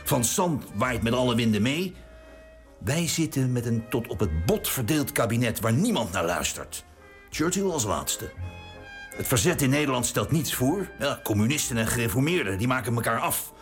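An actor playing a cynical government minister in exile, sarcastic and conspiratorial in a radio drama. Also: male voice, medium pitch, big pitch swings, normal speed, soft background music. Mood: scheming. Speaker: cabinet minister in wartime exile.

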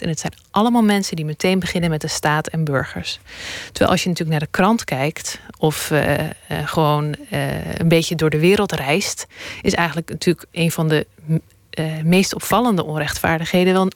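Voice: mid-range voice, no room sound. An interview guest reasoning step by step in a reflective, argumentative way, like an academic in a studio conversation.

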